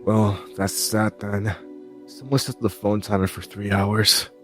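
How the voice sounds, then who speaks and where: A sarcastic young man in a webtoon drama, played by a male actor, with a low-pitched voice over background music. He is blunt and guarded, sparing with his words. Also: level tone, measured pace, lightly acted. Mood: blunt, probing. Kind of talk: webtoon fan dub voice acting.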